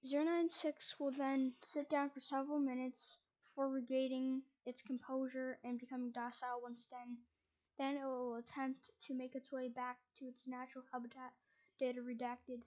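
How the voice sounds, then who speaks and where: A woman's voice: sits high, level tone, normal speed, a little mellow. A woman reading a document aloud, stumbling over words and restarting lines as she goes. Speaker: reader.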